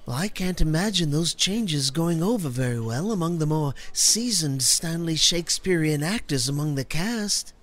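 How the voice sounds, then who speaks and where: A man's voice: a little high, expressive, moderate pace, fairly bright tone. An actor playing a mild, learned male detective's sidekick, hesitant and bookish, in a comedy audio drama. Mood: mild, scholarly.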